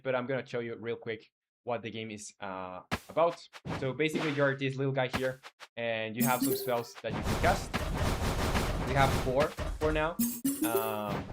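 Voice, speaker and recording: Guy streaming his game dev, average pitch, casual and kinda hesitant, chatting with viewers. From Chile. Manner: informal, hesitant, reads viewer messages aloud and replies. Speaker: game developer live streamer. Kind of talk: casual livestream chat.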